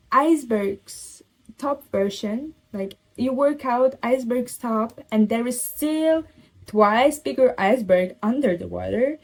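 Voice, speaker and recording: Young woman, mellow warm timbre, mid-range pitch, casual vlog advice, emphatic repetition, girly slang. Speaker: self-help vlogger. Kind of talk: casual advice chat to viewers.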